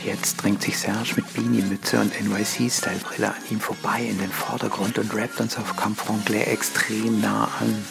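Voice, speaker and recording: A man's voice: lowish voice, little pitch movement, moderate pace, vocal fry, few pauses. A man performing as the narrator of a scripted spoken-word piece, describing action like a screenplay. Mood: narrating. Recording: music under the voice. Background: music.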